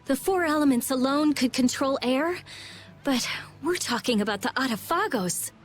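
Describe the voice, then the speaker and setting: A woman voicing a sharp-tongued, clever teenage girl in a video game, brusque and sarcastic. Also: high-pitched, moderate pace, soft background music, close to an everyday voice. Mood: pensive. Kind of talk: English video game voice acting.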